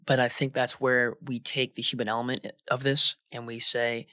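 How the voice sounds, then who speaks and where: Bright-voiced man telling work stories casually. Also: mid-range voice, normal speed, no room sound, clean studio sound. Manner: animated, informal, uses slang and quoted dialogue. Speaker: air traffic controller being interviewed. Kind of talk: casual conversational storytelling, acting out radio exchanges.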